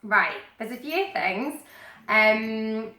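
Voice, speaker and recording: A young woman with a Glaswegian Scottish accent, a radio presenter and DJ chatting excitably in an interview, full of "like"s and restarts. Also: on the high side, very slow, roomy sound. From Scotland. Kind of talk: casual interview chat.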